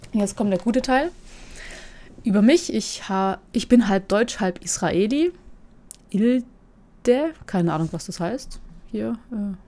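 A woman's voice: mid-range voice, dark tone. A woman hosting a casual commentary, reading listings aloud and musing about them in a chatty way, often turning to ask her co-host questions.

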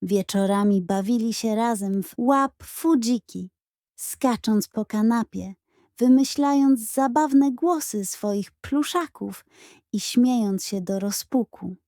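A woman reading a scripted bedtime story for children in an expressive, careful storytelling manner. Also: on the high side, takes it slowly, no echo, clean studio sound. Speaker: audiobook narrator.

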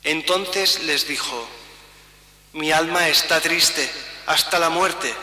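A middle-aged priest reads scripture aloud with very slow, deliberate phrasing and a sharp, ringing tone. His voice sits a little high and carries through a large church with a long, echoing tail. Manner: measured, solemn, pausing between phrases while signing. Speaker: priest reading the Passion. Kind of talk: slow liturgical Gospel reading. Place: church sanctuary, large indoor space. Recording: roomy church reverb, mic at a distance.

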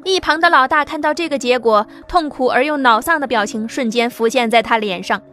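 A woman giving scripted audiobook narration with an even storytelling delivery. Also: high-pitched, fairly quick, faint music behind.

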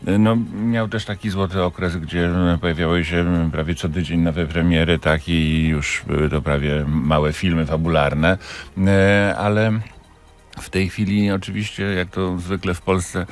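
A man reflecting as an interview guest, speaking haltingly with stammered repetitions of words. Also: sits low, fairly even intonation. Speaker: theatre director, interview guest.

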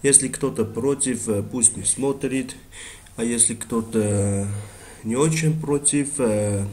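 A man with a non-native Russian accent who talks haltingly, full of fillers and restarts, doing a screen-recorded shopping review. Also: mid-range voice, warm tone. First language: not Russian.

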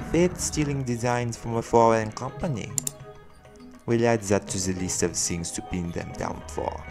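A man's voice: mid-range voice, close to an everyday voice. A man playing a proud, bantering werelion vigilante in an audio roleplay, theatrical and formal in his wording. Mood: curious, serious.

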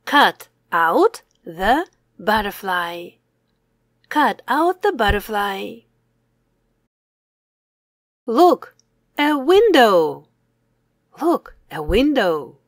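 A young woman teacher reading aloud a simple language lesson for children, saying words deliberately and separately, with exclaimed prompts. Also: mid-range voice, very animated, no echo, studio quality. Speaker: English teacher.

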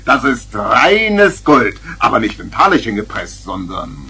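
A very husky, playful uncle. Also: male voice, medium pitch, very animated, unhurried, pushed and bright, full character voice. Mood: teasing, theatrical. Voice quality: husky, rough. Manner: teasing, theatrical, chuckling. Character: playful, theatrical tippling uncle, fond of grand words. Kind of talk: 1970s children's radio play acting.

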